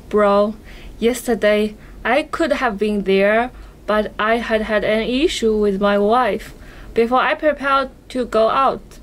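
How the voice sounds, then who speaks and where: Girl doing a high voiced puppet friend, slow n halting, sorry-guys kinda amateur skit. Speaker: amateur puppet show performer. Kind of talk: scripted amateur dialogue.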